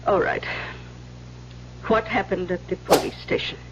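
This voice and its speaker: An actress playing a stern older Mother Superior in an old radio drama, scolding in sharp, weighty questions on a muffled, humming recording. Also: medium pitch, steady tone, a little slow, background noise, close to an everyday voice. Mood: reproachful, weary.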